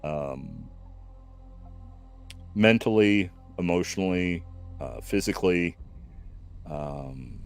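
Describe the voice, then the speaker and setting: A man in his fifties hosting a casual stream chat, slow and reflective, full of fillers and restarts. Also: sits low, faint music behind. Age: 50s. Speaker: stream host.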